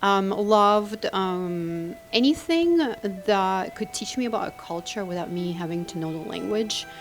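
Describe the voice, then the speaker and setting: A woman's voice: mid-range voice, expressive, measured pace, faint music behind. Reflective woman from Ukraine. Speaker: interview guest, animation filmmaker. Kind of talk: casual interview answers.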